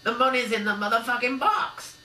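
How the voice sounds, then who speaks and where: A man voicing a violent, foul-mouthed American gangster avocado who yells threats. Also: extremely high pitch, fairly even intonation, a little fast, far from the mic, pitched above the performer's own voice, full character voice. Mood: menacing shout. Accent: put-on American. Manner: loud yelling threats. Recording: echoey room, faint mains hum.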